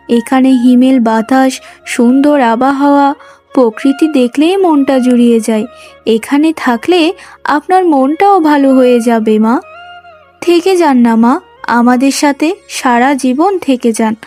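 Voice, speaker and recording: A very high voice carries this gentle young daughter-in-law , acted by a woman. She speaks slowly and pleadingly, with sentimental devotion suited to a cartoon family drama. Light music plays behind her. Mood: tender, pleading. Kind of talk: emotional cartoon story acting.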